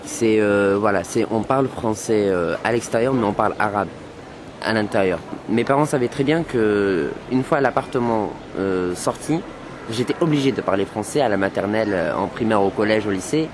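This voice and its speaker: A man from France speaking calmly and deliberately with a group of young people, repeating his phrases for emphasis. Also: fairly low pitch. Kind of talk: frank group discussion. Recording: noisy room with crowd chatter. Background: chatter of a group of youths.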